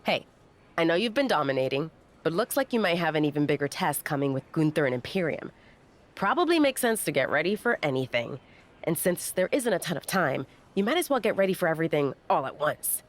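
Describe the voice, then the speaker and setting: A woman playing a brisk wrestling manager in a video game, speaking in a matter-of-fact, persuasive way. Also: low-pitched, big pitch swings. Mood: businesslike, encouraging. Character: brisk female wrestling manager setting up matches.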